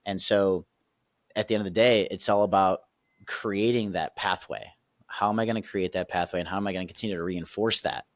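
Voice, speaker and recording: Low-voiced man coaching with emphatic check-ins. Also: steady tone, fairly quick, no room sound. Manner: emphatic, checks in with "okay?" and "right?", laughs easily. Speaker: podcast guest, accountability coach. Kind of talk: casual podcast explanation.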